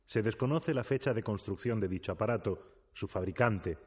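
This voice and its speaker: Man acting as solemn male radio narrator, lowish voice, formal deadpan scripted delivery. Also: normal speed, husky. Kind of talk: scripted mock-documentary narration.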